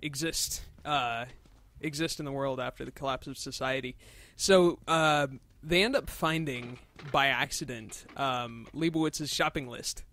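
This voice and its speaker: A man's voice: mid-range voice, unhurried, bright, forceful tone. A man doing casual let's play commentary, explaining things in a hesitant, thoughtful way. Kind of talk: casual chat over gameplay.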